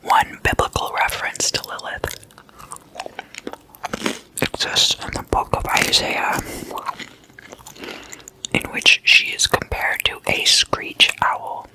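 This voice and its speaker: An ASMR host whispering throughout in a hushed, unhurried, explanatory way.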